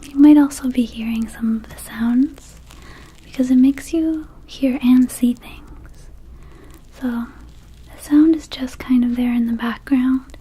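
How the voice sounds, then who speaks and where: Woman playing a slow, whispering little vampire herb seller. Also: fairly high pitch, low-quality recording. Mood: dreamy, casual. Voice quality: soft, breathy whisper. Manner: hesitant, rambling, playfully casual salesmanship. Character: small goofy vampire herb dispensary keeper, laid-back and shady. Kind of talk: ASMR roleplay, mostly whispered.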